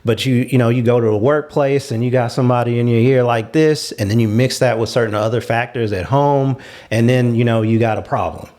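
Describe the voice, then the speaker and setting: Man, average pitch, casual discussion style, explanatory, reasoned panelist. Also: a little fast, a little mellow.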